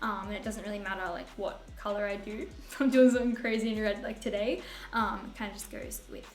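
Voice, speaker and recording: A young woman with a husky voice talks through a makeup tutorial in a breezy, rambling way, poking fun at herself. Her voice sits at an ordinary middle pitch. The small room around her adds a roomy echo, with faint music running underneath. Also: steady tone, quick pace. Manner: bubbly, rambling, self-mocking with laughs. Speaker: beauty vlogger. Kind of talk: casual chatty makeup tutorial.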